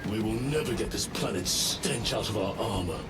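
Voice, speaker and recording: An actor playing a proud, scornful warrior sergeant in a video game, haughty, formal and forceful, heard at some distance under loud music. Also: male voice, fairly low pitch, normal speed, heavy background noise, lightly acted. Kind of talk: video game cutscene acting.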